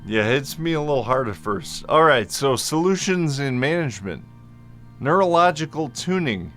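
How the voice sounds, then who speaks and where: A man giving an informal explainer as a vlogger, speaking in a halting, deliberate way with long hesitations and at times mildly slurred speech. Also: a little high, expressive, fairly bright tone, faint music behind. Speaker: vlogger sharing a personal medical journey. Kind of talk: informal explainer, part reading notes, part ad-libbed.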